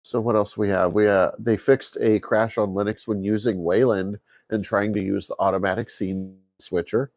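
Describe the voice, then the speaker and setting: A man with a bassy voice co-hosting a casual tech podcast, amused and quick to laugh. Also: fairly even intonation, a little mellow, no echo. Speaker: podcast co-host.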